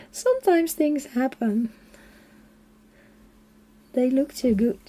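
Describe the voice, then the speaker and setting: A woman with a Dutch accent chatting casually as she colors for a live stream, soft-spoken and unhurried, now and then dropping to a quiet half-whisper. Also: high-pitched. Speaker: coloring channel host.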